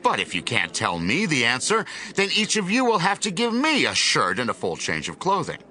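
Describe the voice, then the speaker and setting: A man voicing Samson as a headstrong young man who speaks slowly and demands what he wants, in a dramatized Bible reading. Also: fairly high pitch, huge pitch swings, sharp tone, voice pushed up, exaggerated voice. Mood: demanding.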